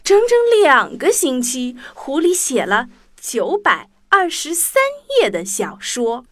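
Lady reading a kids story, highish voice, really expressive up and down, music under it. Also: slow. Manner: expressive, addresses young listeners. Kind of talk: animated children's story narration. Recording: music bed behind the voice.

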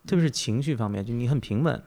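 A man's voice: medium pitch, lively intonation, quick pace, dark tone. A man hosting a reflective interview, musing aloud and rephrasing his thoughts as he goes. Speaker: podcast host, interviewer.

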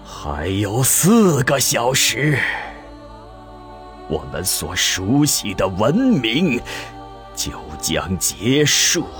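A man's voice: mid-range voice, sing-song, very slow, fairly bright tone, exaggerated voice. A man playing a proud, angry old astronomer and university president in a theatrical, emphatic radio drama style. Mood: grave, resigned. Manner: theatrical, indignant, emphatic delivery. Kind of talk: Chinese radio drama acting. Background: music.

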